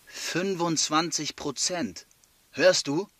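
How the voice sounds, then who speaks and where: A man's voice: fairly high pitch, slow pace, a bit bright, no room sound. An actor playing a curt male labour-camp overseer who gives orders in a radio drama. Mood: stern. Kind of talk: radio drama narration and acted dialogue. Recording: music under the voice.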